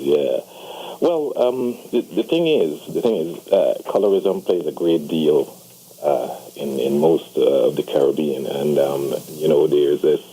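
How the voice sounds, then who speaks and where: Male caller from Guyana, very deep dark voice, phone-line sound. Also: breathy edge. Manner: hesitant, many fillers. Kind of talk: call-in on talk radio. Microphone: telephone.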